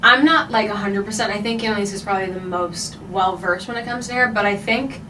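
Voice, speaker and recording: A woman's voice: mid-range voice, a little fast, reverberant, far from the mic, background noise. A woman of twenty-one, a podcast guest talking casually and a little hesitantly, thinking aloud. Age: young adult. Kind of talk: casual chat.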